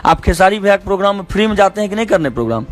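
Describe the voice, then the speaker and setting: Male, high voice, Bihari accent; insistent organizer haggling on a recorded phone call, faint music behind. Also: expressive, very fast. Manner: argumentative, insistent. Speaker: stage show organizer. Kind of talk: heated phone negotiation.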